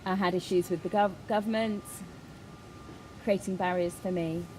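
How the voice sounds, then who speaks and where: A woman voicing a signed personal statement as an interpreter, in halting phrases with pauses. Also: fairly even intonation, a little mellow, rough recording. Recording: clear background noise, ambient rumble.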